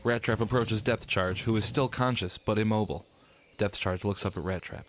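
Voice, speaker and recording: A man's voice: fairly low pitch, normal speed, slight background noise. A man reading scripted scene narration for a radio drama.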